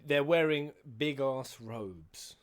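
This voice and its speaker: A man's voice: mid-range voice, lively intonation, slow pace. A man giving casual, playful, sweary and theatrical reaction commentary as he streams game cutscenes. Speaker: streamer, gaming host.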